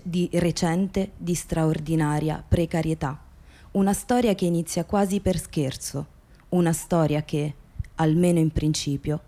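A woman narrating a scripted radio theatre documentary in a grave, deliberate manner. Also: fairly low pitch, dark tone.

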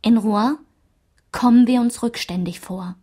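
A woman performing a narrator who recalls her small-town French childhood in a literary radio play, in a measured, enumerating delivery. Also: a little high, mellow. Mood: measured, reflective.

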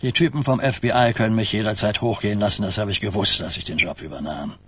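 An actor playing an aging mafia godfather, terse, weary and authoritative, with a husky voice, in a radio crime drama. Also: lowish voice, quick pace, a bit bright, no room sound. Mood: weary, sardonic. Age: older.

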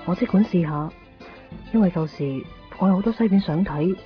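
A woman's voice: on the low side, moderate pace, lightly acted. An actress playing a sincere, hopeful young woman in a Hong Kong Cantonese radio drama. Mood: anxious, hopeful. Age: young adult. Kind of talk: naturalistic 1990s radio drama dialogue and inner monologue. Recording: muffled 1990s radio drama, faint music.

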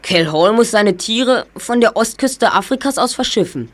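A woman's voice: on the high side, lively intonation, moderate pace. A woman voicing a clever, self-assured young boy detective in a German audio drama, explaining things precisely. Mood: confident, deducing.

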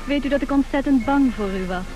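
A woman's voice: high-pitched, fast talker, lightly acted, rough recording. An actress playing an earnest nineteen-year-old girl from a good family in a radio play, precise and matter-of-fact. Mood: shy, curious.

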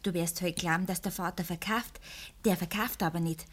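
An actress playing a jealous, coquettish young farmer's daughter in a radio drama, talking in Bavarian dialect with a probing, insistent manner. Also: female voice, lowish voice, steady tone, normal speed, natural voice. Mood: coaxing, needling.

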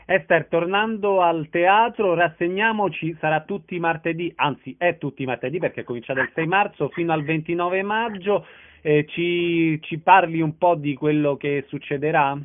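A male radio host asks short, prompting questions in a slightly higher voice. His voice comes through a thin, phone-like sound. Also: moderate pace. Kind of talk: radio interview.

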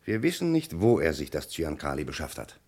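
A man's voice: rumbling low, big pitch swings, fairly quick, darkish tone, full character voice. A man playing an insistent, methodical police commissioner in a German radio crime play, speaking precisely and emphatically. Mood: insistent, assured.

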